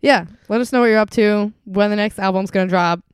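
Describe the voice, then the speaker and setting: Young woman podcast co-host, chatty, giggly, smooth clear voice, dry close mic. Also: medium pitch, brisk, dry recording. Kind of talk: casual chat.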